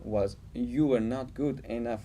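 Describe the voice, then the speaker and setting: A man's voice: medium pitch, measured pace, warm tone, slight background noise. A man interviewing a guest in non-native English, asking questions with frequent filler words. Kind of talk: casual interview talk.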